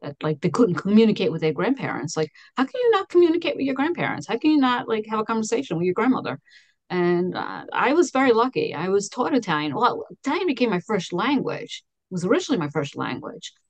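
A woman in her fifties from Queens, a guest on a video call, telling family stories in an animated way with many restarts. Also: medium pitch, fast, breathy edge, no echo. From Astoria, Queens, New York. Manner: animated storytelling, many restarts and "you know". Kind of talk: casual conversation. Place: home office with framed certificates.

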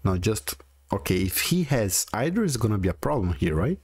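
A man's voice: fairly low pitch, sing-song, normal speed, a little mellow, husky, dry recording, clean studio sound. A man in his forties with a strong Brazilian Portuguese accent, chatting and thinking aloud as he comments on his own card game. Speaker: gaming video creator. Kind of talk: casual gameplay commentary.

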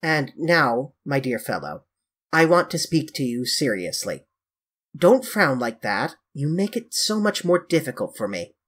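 Man acting earnest gentleman painter, grave, solemn, pleading, rough-edged voice, expressive intonation, audiobook character. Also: unhurried, full character voice. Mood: serious. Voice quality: deep.

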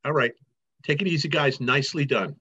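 A man's voice: mid-range voice, a little fast, bright, forceful tone, breathy edge. A middle-aged man chatting casually as an advisor, encouraging and joking, on a video call. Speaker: faculty advisor. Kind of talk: casual chat. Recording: muffled video call audio.

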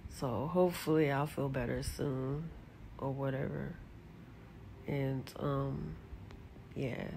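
A middle-aged woman with a low, gravelly voice and a congested, stuffy sound, chatting to her phone while sitting on a bed. She talks in a relaxed, candid way and now and then jumps up in exasperation. A faint hiss sits behind her. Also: takes it slowly, rough recording. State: congested from allergies. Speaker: vlogger. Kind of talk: casual chat to camera. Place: bedroom, sitting on a bed indoors. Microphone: phone camera held close.

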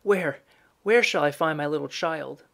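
A man's voice: fairly high pitch, measured pace, vocal fry, a bit bright. A man voicing a sorrowful, devoted mother in a storybook tale, earnest and pleading. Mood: anguished.

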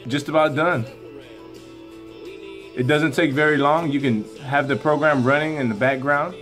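A man's voice: on the high side, normal speed, fairly bright tone, faint music behind. A middle-aged man, a teacher, walking fellow staff through a computer program in a classroom, talking upbeat and folksy as he narrates each click. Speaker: teacher leading a staff tech demo. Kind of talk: casual classroom screen demo.

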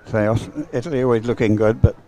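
Male sports commentator, medium pitch, live race call, short halting phrases, unhurried delivery. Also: steady tone, warm tone, rough recording.

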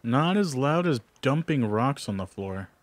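A man's voice: mid-range voice, animated, a little slow, no echo. A man chatting on a game stream, joking a lot and laughing at himself. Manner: joking, laughs often, self-deprecating. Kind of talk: casual gaming chat.

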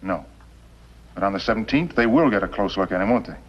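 An American actor playing a cool, calculating villain in a 1950s TV western, speaking deliberately and prodding with leading questions. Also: male voice, lowish voice, lively intonation, slight background noise, lightly acted. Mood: smug menace. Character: cool, calculating male villain plotting murder, smugly patient. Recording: dull old 1950s television soundtrack.

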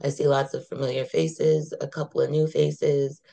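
A woman with a low, congested voice talks over a video call from a room at home. She speaks in a casual, conversational way and leans on a friendly "y'all." Her tone is apologetic and plain. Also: level tone, normal speed, vocal fry, warm tone. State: congested from a cold. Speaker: advocacy manager.

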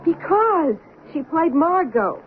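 A woman's voice: very high voice, big pitch swings, slow, exaggerated voice. An actress playing an obsessed, solemnly sincere woman fan insisting on a murder confession in a 1970s radio drama. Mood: insistent. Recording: lo-fi noisy vintage radio broadcast.

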